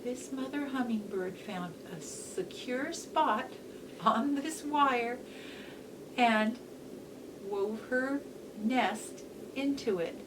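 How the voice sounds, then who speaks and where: Slow-paced woman teacher reading aloud, slightly distant. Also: a little high. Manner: deliberate, instructive phrasing with emphasis on key words. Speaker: read-aloud teacher and host. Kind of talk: educational read-aloud for children with explanatory commentary. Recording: distant mic with faint hiss.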